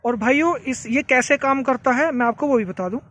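A man's voice: very high-pitched, brisk, a bit bright. A man giving a casual, chatty product demonstration outdoors, often repeating himself and addressing viewers as brothers. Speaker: farming vlogger. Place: outdoors beside a tractor by a green field.

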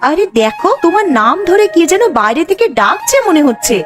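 A woman voicing a brisk, practical and caring young housewife in a cartoon, with expressive delivery. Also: extremely high pitch, music in the background. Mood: puzzled. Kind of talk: Bengali cartoon voice acting.

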